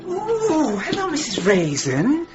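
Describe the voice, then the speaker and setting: An actress playing a forgetful, glum female shopkeeper in a radio drama, with halting, drawn-out speech. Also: high-pitched, wildly swooping pitch, dull audio, music in the background, exaggerated voice. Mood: vague greeting.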